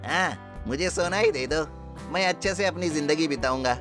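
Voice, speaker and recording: Man playing a greedy villager, slightly high. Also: moderate pace, close to an everyday voice. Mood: eager. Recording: music bed under the voice.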